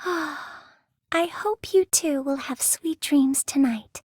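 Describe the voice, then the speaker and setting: An actress playing a gentle, dreamy young woman in a video game, speaking softly and soothingly. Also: high-pitched, no room sound, clean studio sound. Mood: tender, sleepy. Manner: soft, unhurried, soothing. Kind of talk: video game character voice line.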